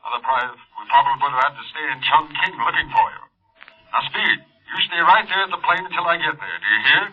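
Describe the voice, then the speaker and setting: An actor playing a brisk, authoritative secret police agent heard through a shortwave radio filter in a 1930s serial. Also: male voice, fairly high pitch, lively intonation. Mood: concerned, firm. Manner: firm, clipped, take-charge. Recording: tinny, narrow-band shortwave radio sound.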